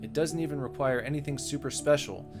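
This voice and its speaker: A man's voice: on the high side, fairly quick, close to an everyday voice. A man voicing a composed, matter-of-fact young woman who is caring and determined, in a dramatic visual novel reading. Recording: music behind the voice.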